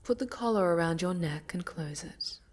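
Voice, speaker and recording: A woman playing a sinister, seductive necromancer villain in a fantasy ASMR roleplay, soft-spoken and half-whispered, with menacing, deliberate delivery. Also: on the low side, expressive, slight background noise, natural voice. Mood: seductive, commanding. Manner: slow, deliberate, menacing, savoring pauses.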